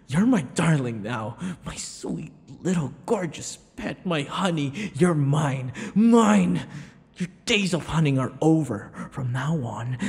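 A man playing a possessive, sadistic yandere vampire in an intimate ASMR roleplay, taunting and slipping into breathy whispers. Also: mid-range voice, a little mellow. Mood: possessive, gloating. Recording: long hall-like reverb.

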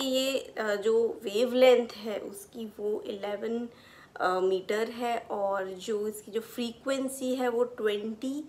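A young adult woman presenting as a physiotherapist, explaining technical terms in plain words in an educational style. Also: on the high side. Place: small furnished room indoors.

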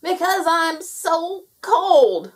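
A woman voicing a cold, weary bearded male gnome who shouts and exclaims in a children's story. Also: high-pitched, lively intonation, normal speed, voice pushed up. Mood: loud, shivering.

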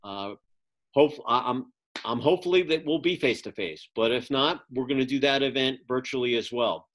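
Middle-aged man, webcam audio, home office, chatty with lots of "uh", medium-pitched. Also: normal speed, a bit bright, slight background noise. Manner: rambling, frequent "uh" fillers. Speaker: magazine publisher and event producer. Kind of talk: casual update on a video call. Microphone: video call webcam.

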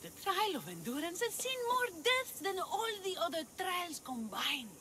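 A woman's voice: very high voice, big pitch swings, unhurried, noisy background, full character voice. An actress voicing a sour female trial master who gives dry, weary warnings.

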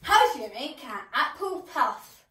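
An amateur pantomime performer giving introductions and jokes in a drawn-out, declaiming way. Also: high-pitched, very animated, bright, forceful tone. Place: living room at home.

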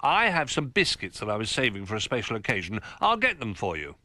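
A man voicing a kindly elderly toy bear from a storybook, gentle, polite and grandfatherly. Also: fairly low pitch, huge pitch swings, brisk, dry recording, heavily acted. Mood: genial, welcoming.

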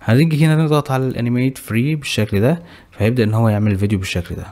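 Fast-talking Egyptian man explaining software. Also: medium pitch. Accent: Egyptian Arabic. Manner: brisk, explanatory, walks through each click. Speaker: tech tutorial host. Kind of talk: screen-recorded software tutorial.

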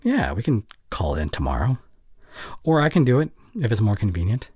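A young man playing an anxious, caring boyfriend in an intimate ASMR roleplay, speaking softly and hesitantly close to the mic. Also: lowish voice, huge pitch swings, moderate pace, no room sound, exaggerated voice. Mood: concerned, loving. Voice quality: soft, breathy. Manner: gentle, hesitant, murmuring reassurance. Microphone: close microphone.

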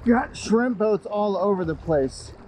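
A man's voice: high-pitched, lively intonation, takes it slowly, over loud music, rough recording. A man in his forties talking casually to viewers as host of an outdoor fishing vlog, repeating himself for emphasis. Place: outdoors on an open beach and in a kayak at sea.

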